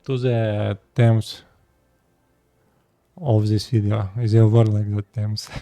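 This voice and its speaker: A 36-year-old man from Russia with a Russian accent, speaking halting second-language English as he talks casually to his viewers. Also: fairly low pitch, little pitch movement, mellow, dry recording, soft background music. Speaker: vlogger. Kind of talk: casual personal talk to camera.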